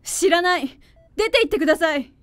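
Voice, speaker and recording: A woman's voice: very high voice, fairly quick. A woman playing a guarded, fragile and distraught young woman in a Japanese radio drama. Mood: wary.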